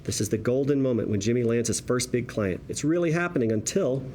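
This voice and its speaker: A man's voice: mid-range voice, expressive, fairly quick, mellow, a little hiss or hum. A man reading stage directions as scripted narration at a table read, in vivid present-tense storytelling.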